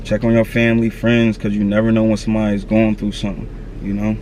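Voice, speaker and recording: A man in his thirties speaks outdoors in a low register, his delivery level with little rise and fall. He talks earnestly and loosely in slang, circling back to the same advice. Faint traffic hums behind him. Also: monotone, fast, darkish tone. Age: 30s. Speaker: vlogger. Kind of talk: candid motivational talk to camera.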